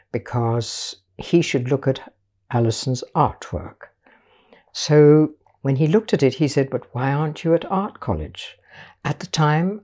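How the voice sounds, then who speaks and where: Older woman telling her life story, mellow and husky. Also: rumbling low. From Portugal. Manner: measured, storytelling, patient explaining. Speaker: guest artist. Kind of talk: reflective life-story interview.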